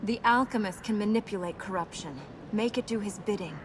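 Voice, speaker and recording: An actress playing a serious, resolute young princess in a video game, measured and matter-of-fact. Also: female voice, medium pitch, lightly acted. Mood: grave explaining. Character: solemn, resolute young princess guiding an adventurer. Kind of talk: video game character acting. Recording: noisy game capture with ambience.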